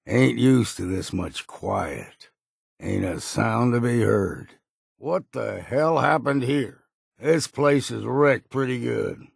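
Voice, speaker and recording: An actor playing a gruff, weary old hunter in a video game, grumbling and wary in a folksy, plainspoken rustic drawl. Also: male voice, medium pitch, slow, dry recording, clean studio sound, heavily acted. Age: older. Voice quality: gravelly, husky. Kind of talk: video game character voice lines.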